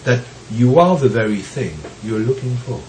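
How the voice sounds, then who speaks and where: A man who is recovering from bronchitis, so his voice sounds deeper than usual, gives a slow, reflective teaching talk with frequent pauses and the occasional soft laugh. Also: expressive, a little hiss or hum, low-quality recording. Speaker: Zen teacher.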